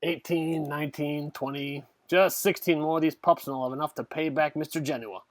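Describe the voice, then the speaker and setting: A man's voice: fairly high pitch, steady tone, brisk, no echo, lightly acted. A man playing a cowardly, flippant small-time crook who talks in whiny slang in a comic audio drama. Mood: scheming.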